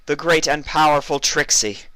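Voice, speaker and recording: A commanding royal mare from a storybook, voiced by a man. She speaks in a lifted, forceful voice, formal and stately, as a ruler does to her subjects. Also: a little high, normal speed, no room sound, voice pushed up, poor audio. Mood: stately proclaiming. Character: regal, commanding pony princess addressing her subjects. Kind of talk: dramatised fan fiction reading.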